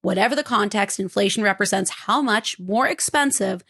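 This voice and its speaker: Hoarse woman co-host, joking and salty. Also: mid-range voice, normal speed, a bit bright. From California, United States. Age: 40s. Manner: salty, joking, swears casually. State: hoarse from a cold. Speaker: podcast co-host. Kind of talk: casual chat with a scripted explainer.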